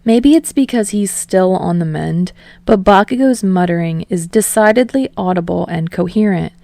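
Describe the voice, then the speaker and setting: A woman's voice: on the low side, normal speed, vocal fry, dark tone, no room sound. A woman reading a story aloud as scripted audiobook narration. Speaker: audiobook reader of fan fiction.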